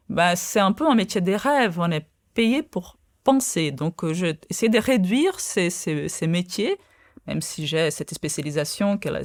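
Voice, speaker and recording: A woman's voice: medium pitch, no echo, studio quality. Hesitant woman. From Brazil. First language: Portuguese. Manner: hesitant, many fillers and restarts. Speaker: guest, quantum physicist. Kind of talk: podcast interview.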